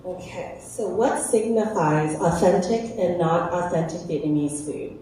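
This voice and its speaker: A woman's voice: lowish voice, measured pace, warm tone. A woman moderating a casual live question-and-answer panel. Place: echoey indoor community event space. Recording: echoey hall, distant mic, faint noise.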